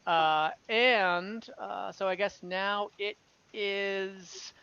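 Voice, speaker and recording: A male game master with a high voice and a bright, forward tone. He narrates an online tabletop game at a slow pace. He improvises as he goes, often hesitating and thinking aloud. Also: steady tone, vocal fry, clean tone, muffled recording.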